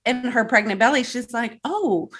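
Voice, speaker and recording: A woman's voice: on the high side, animated, moderate pace, a bit bright. A woman co-hosting a casual recap show, speaking in an informal, chatty way. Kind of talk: casual chat recapping a TV episode.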